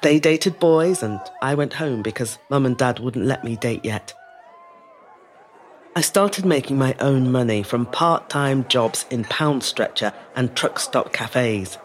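A woman playing a resilient middle-aged woman telling her life story in a measured, reflective dramatic monologue. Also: extremely low pitch, animated, some chatter behind, slight background noise. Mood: fond, nostalgic. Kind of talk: scripted dramatic monologue, first-person storytelling.

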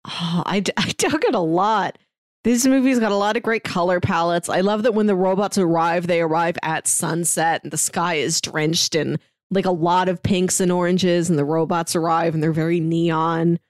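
A woman's voice: on the low side, steady tone, normal speed, no room sound, studio quality. A woman co-hosting a podcast, chatting casually about a film in a giggly way. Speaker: podcast co-host.

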